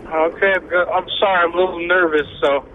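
A man's voice: high-pitched, fairly even intonation, fast talker, narrow, tinny audio, a little hiss or hum, close to an everyday voice. A man making a prank call to a radio show, stammering and acting like a nervous first-time caller.

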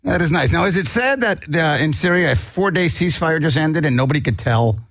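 Brief: male host, gravelly, wry and sarcastic, laughs a lot, dry studio sound. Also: fairly high pitch, animated, a little fast, a bit bright, dry recording. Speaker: podcast host. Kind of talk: casual comedic news chat.

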